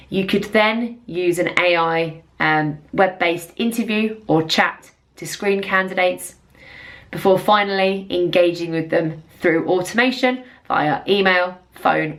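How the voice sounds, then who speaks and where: A woman consultant presenting a semi-scripted review in an earnest, emphatic way. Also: lowish voice. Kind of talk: semi-scripted explainer to camera.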